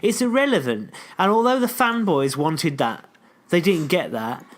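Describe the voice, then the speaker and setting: Podcast co-host, man, fairly high voice, blunt, swears and laughs easily. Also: sing-song, normal speed. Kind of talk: casual chat.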